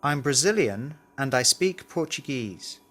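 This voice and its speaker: A man's voice: medium pitch, expressive, a little slow, fairly bright tone. A man reading a scripted language lesson, carefully enunciating model words and sentences. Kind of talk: scripted language drill, read aloud.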